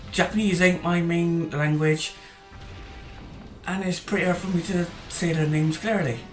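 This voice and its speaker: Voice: mid-range voice, steady tone, slow, pauses often. A gaming channel host giving casual, rambling commentary over gameplay, full of hesitant fillers and restarts, his voice tiring as he goes. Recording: roomy echo, faint music behind.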